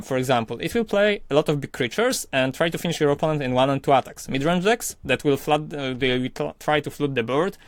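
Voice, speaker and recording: Methodical coach, dry close sound. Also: male voice, medium pitch, dry recording. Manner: methodical, hesitant with many fillers. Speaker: card game coach. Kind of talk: explaining game strategy.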